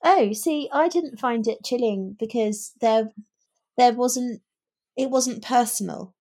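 A woman's voice: on the high side, slow pace, dark tone. A woman co-hosting a conversational book discussion, thinking aloud in long, emphatic explanations. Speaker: podcast co-host.

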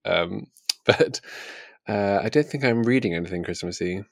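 A man's voice: on the low side, animated, moderate pace, gravelly, no room sound, studio quality. A man co-hosting a casual book chat in a chatty, hesitant, understated way. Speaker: podcast co-host.

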